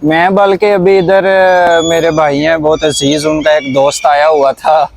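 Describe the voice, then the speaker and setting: Higher-voiced Pakistani man, casual vlog host. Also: level tone, takes it slowly, a little hiss or hum. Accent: Pakistani Punjabi. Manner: mixes Punjabi and English words into talk. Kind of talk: casual conversational commentary.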